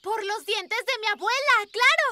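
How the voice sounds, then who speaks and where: An actor voicing an excitable, earnest young beaver child in a children's cartoon, exclamatory and a little fretful. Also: very high voice, moderate pace, heavy background noise. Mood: enthusiastic. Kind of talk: children's cartoon dub acting.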